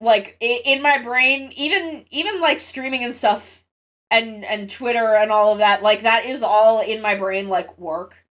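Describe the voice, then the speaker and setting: A woman's voice: fairly high pitch, a little slow, a bit bright. A woman with a congested, sniffly nose chats casually to livestream viewers, rambling, interrupting herself and swearing freely.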